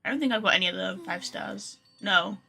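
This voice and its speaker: Bright-voiced woman streamer, casual and rambling. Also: mid-range voice, fairly quick, creaky, faint music behind. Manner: rambling, informal, sweary. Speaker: video game livestreamer. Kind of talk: casual livestream chat to viewers.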